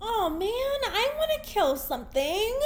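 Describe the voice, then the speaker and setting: Excitable slangy young woman, really high, slow drawn out talk, noisy audio drama scene. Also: heavily acted. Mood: manic.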